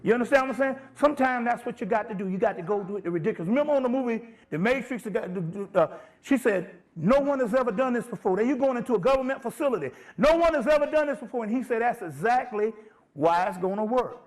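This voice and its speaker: A man with a high-pitched voice speaks as an informal lecturer on spiritual matters. He is emphatic and conversational, talking in plain, salty language and regularly checking that listeners are following him. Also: lively intonation, quick pace.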